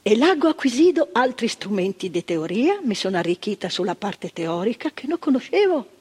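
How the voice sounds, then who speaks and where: An older actress, playing a retired psychiatric worker in a stage monologue, talking emphatically with repetitions and asides to the listener. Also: female voice, medium pitch, darkish tone, husky. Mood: reflective. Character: retired female psychiatric worker, proud, impassioned, reflective. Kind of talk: theatre monologue. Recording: stage recording with long reverb.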